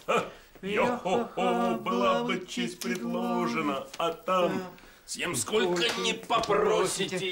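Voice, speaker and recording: An actor playing a loud, bossy male pirate with a sweet tooth in a televised costume comedy. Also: sits high. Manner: loud, blustering, bossy shouting. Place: indoor TV studio set.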